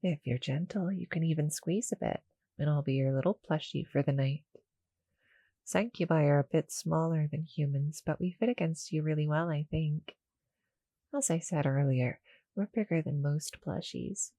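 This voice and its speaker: A woman voicing a small, sweet female cuddle monster in an intimate ASMR roleplay, soft and breathy, often near a whisper, gentle and a little hesitant. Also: sits low, normal speed, dry recording. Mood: cozy, affectionate. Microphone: close, dry studio-style mic.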